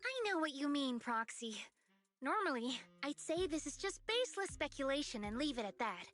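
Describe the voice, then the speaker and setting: A woman voicing an excitable, eager young woman researcher obsessed with monsters in a video game. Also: high voice, moderate pace, faint music behind. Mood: excited, musing. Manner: animated, eager, dramatic.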